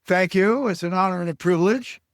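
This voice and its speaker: An older man from Alaska answering interview questions slowly, pausing often with fillers and short of breath. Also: sits high. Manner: halting, with many pauses and fillers. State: short of breath, on supplemental oxygen. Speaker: guest. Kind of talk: slow reflective interview answers.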